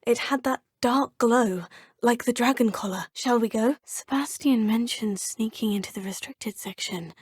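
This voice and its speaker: A woman's voice: on the high side, moderate pace, slightly rough, dry recording. An actress voicing a polite, determined young female student of magic in a video game, speaking in a courteous, composed, formal manner. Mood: calm. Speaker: player character voice. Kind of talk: video game dialogue lines. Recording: dry studio booth.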